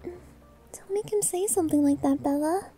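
A timid, sweet novice maid in an anime-style ASMR roleplay. The voice is very high, girlish and very soft, mellow in tone, with hesitant, caring delivery. Music plays behind it. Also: normal speed. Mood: flustered. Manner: hesitant, gentle, stammering.

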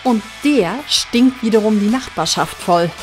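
A woman's voice: mid-range voice, a little slow, soft background music, close to an everyday voice. A woman playing an easygoing, dryly teasing police deputy in an amateur audio drama. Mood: wry, informative. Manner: dry, gently teasing. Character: wry, easygoing female police station deputy.

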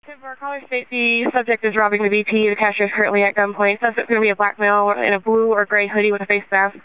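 A woman dispatcher speaks over police radio in a clear, smooth voice. Her pitch is average, and the transmission is thin and phone-like. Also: little pitch movement, fast.

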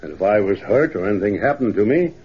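An actor playing an authoritative small-town banker with a rural Western American accent in an old radio drama. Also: male voice, lowish voice, expressive, fast, slightly rough. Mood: businesslike. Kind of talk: 1930s radio drama acting. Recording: muffled, lo-fi 1930s radio recording.

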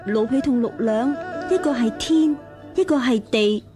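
High-pitched coy maidservant played by an actress. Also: female voice, a little slow, over music, natural voice. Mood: fawning, coy. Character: coy, flirtatious, fawning maidservant wet nurse. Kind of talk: Cantonese radio drama acting.

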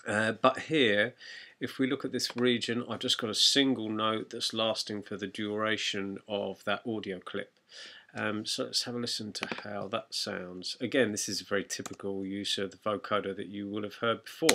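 A man narrating an informal software tutorial in a conversational, explanatory way. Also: fairly low pitch, flat delivery, moderate pace, noisy background. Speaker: music production tutor.

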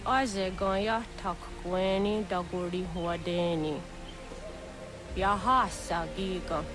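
Grave elderly village matriarch. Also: female voice, fairly low pitch, steady tone, slow, over music. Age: older. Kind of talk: dramatic game cutscene acting.

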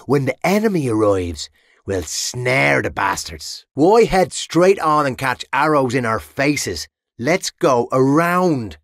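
An actor with an Irish accent playing a crude, sardonic medieval mercenary soldier in a video game. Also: male voice, medium pitch, gravelly, no room sound, studio quality, heavily acted. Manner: sardonic, foul-mouthed, chuckling taunts. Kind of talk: game battle barks.

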